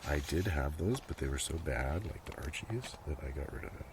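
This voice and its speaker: A man speaking in a soft, casual ASMR ramble that often slips toward a whisper, with unhurried murmured asides. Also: very deep voice, expressive. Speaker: host of a comics collection ASMR video. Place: outdoors. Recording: noisy outdoor recording with breeze. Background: breeze, page flipping, ambient noise.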